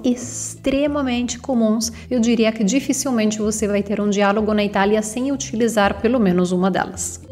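Young Italian woman, language teacher, patient and clear, repeats words for practice. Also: fairly high pitch, moderate pace, hardly any pauses, darkish tone, faint music behind. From Italy. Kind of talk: podcast language lesson.

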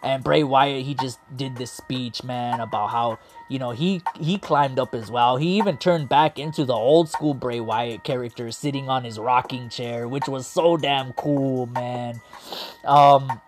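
An excited man, rambling through a wrestling recap and losing his voice as he goes. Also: medium pitch, a little slow, faint music behind. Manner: hyped, rambling, heavy on "man" and "like". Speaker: wrestling show host. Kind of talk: excited casual commentary.

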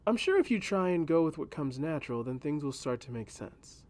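A man voicing a gentle castle servant. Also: fairly high pitch, very animated, brisk. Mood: reassuring. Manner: gentle, patient, coaxing. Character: kindly adult male castle servant. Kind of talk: audiobook character voice.

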